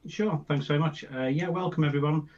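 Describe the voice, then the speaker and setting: Consultant man, a bit croaky, measured delivery with fillers, dull lo-fi call sound. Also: fairly high pitch, a little mellow. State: slightly croaky from the flu. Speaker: standards consultant. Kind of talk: introducing himself on a webinar. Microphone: video call.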